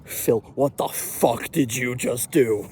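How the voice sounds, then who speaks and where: A man's voice: medium pitch, takes it slowly, darkish tone, a little hiss or hum, natural voice. A man voicing an exasperated mother in a comic anecdote, speaking emphatically. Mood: stern, angry. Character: exasperated mother, pleading then sternly threatening.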